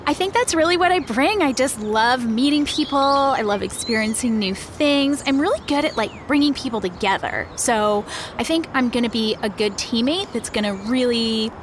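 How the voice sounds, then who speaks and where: A woman's voice: a little high, expressive, moderate pace, noisy background, close to an everyday voice. A woman playing a bubbly, self-regarding young social media influencer in an audio drama, gushing in valley-girl style. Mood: self-assured, chirpy. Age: young adult. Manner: gushing, filler-heavy valley-girl talk. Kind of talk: reality show confessional in audio drama. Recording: loud music bed behind voice.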